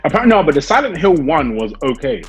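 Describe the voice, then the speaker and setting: A man's voice: on the high side, sing-song, quick pace, breathy edge, soft background music. A young man, chatting casually as a podcast co-host and often restarting his points. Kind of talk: casual chat.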